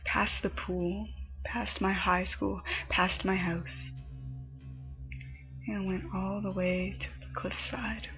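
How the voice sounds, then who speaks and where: A woman narrating a scripted horror story in a dramatic manner, her phrases often dropping into a breathy hush. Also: medium pitch, flat delivery, slow pace. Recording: muffled lo-fi home recording, music behind. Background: background music.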